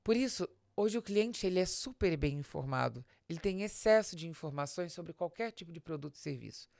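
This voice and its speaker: A woman's voice: fairly low pitch, animated, brisk, creaky, a little mellow, no room sound, clean studio sound. A woman with a Brazilian Portuguese accent hosts a business podcast in a conversational, explanatory monologue, addressing the listener directly with questions and examples. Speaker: podcast host and business advisor.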